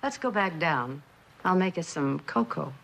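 An actress playing a reserved, wistful, probing mother of a missing daughter in a vintage suspense drama, speaking in a measured, formal way. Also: lowish voice, expressive, gravelly, fairly bright tone, a little hiss or hum, heavily acted, poor audio. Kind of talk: 1960s television suspense drama acting.

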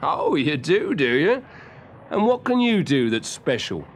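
A man plays a busy circus worker in a storybook tale. He is sceptical and teasing, and his pitch rises and swings expressively. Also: very animated, unhurried, slight background noise. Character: busy circus man, gruffly amused, unhurried.

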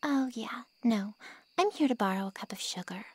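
A woman playing a snide, taunting female monster in an ASMR roleplay, with a sarcastic drawl and a breathy, half-whispered voice. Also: fairly high pitch, expressive, moderate pace, dry recording. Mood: teasing, sardonic. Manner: sarcastic, insulting, teasing drawl.

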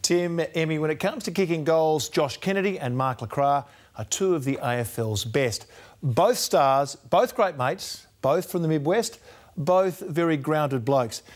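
A man with an Australian accent presenting sport in a TV news studio in a measured, emphatic way. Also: a little high.

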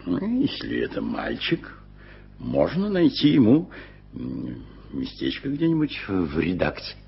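A man's voice: rumbling low, huge pitch swings, unhurried, creaky, husky, full character voice. An actor playing a fussy, flustered middle-aged male editor who hesitates and mumbles, in a vintage radio play. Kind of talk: 1980s radio drama acting. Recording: dull-sounding vintage radio recording with music.

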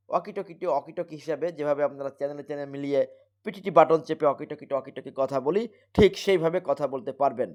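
A man with a Bangladeshi accent giving an emphatic, repetitive sales pitch as he presents a product. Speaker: product seller presenting a device.

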